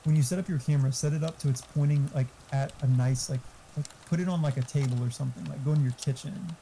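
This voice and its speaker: A man's voice: on the high side, steady tone, quick pace, vocal fry, warm tone, a little hiss or hum, rough recording. A man chatting casually and giving tech advice in a blunt, explanatory, sweary way. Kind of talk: casual chat. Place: online voice chat.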